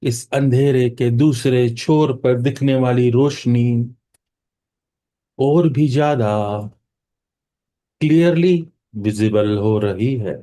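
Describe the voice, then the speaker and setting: A man with an Indian accent leading a guided meditation in a soothing manner, leaving long pauses between phrases. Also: medium pitch, warm tone.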